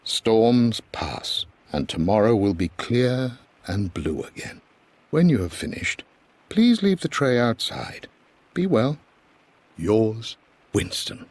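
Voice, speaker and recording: Kindly butler, expressively melodic. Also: male voice, on the low side, sing-song, slow, dry recording, full character voice. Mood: reassuring. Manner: formal, gentle, reassuring. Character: kindly, devoted older male household butler. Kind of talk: letter read aloud, game voice acting.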